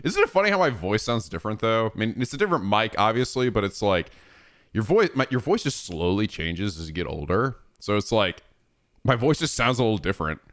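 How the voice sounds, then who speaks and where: A man's voice: on the low side, very animated, brisk, fairly bright tone, soft background music. A man in his thirties chatting casually on a livestream, rambling and swearing freely with frequent laughs. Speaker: streamer.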